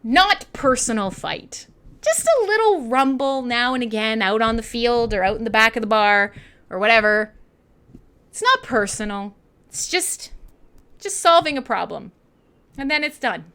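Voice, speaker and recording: A woman with a Canadian accent hosts a casual music radio show. Her voice is bright and forceful, with very lively swings in pitch. She rambles irreverently, joking and swearing freely as she chats to listeners. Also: measured pace, clear, resonant tone. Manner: sweary, jokey, rambling, laughs often. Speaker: online radio show host and DJ. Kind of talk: casual irreverent live radio chat between songs.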